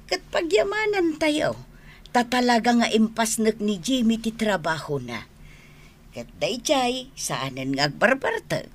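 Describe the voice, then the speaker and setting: An actress playing an expressive village mother in a radio comedy-drama. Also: fairly high pitch, measured pace. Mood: pleased. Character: expressive village mother, talking with her in-law.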